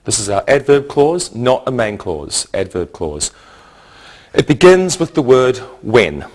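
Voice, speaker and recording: A man teaching English grammar in a scripted lesson, speaking in a deliberate, didactic way and setting out each point in short phrases. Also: mid-range voice, sing-song, darkish tone.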